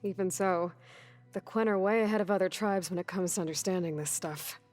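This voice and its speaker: An actress playing a practical, quietly determined young woman warrior in a video game, speaking briefly and plainly. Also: on the low side, brisk, soft background music, lightly acted. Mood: steady, reflective. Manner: plain-spoken, brief, probing. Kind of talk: video game cutscene dialogue.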